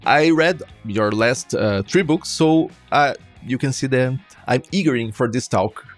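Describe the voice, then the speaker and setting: A man's voice: mid-range voice, a little slow, soft background music. A man from Brazil with a Brazilian Portuguese accent, Portuguese native, hosting a podcast and asking interview questions with some hesitation. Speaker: podcast host.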